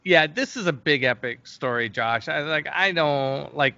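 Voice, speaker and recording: A man's voice: fairly high pitch, a little slow, vocal fry, sharp tone, clean tone, dry recording, lo-fi sound. A middle-aged man chatting casually as an interview guest, often stopping and restarting his sentences. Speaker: interview guest, fantasy film producer.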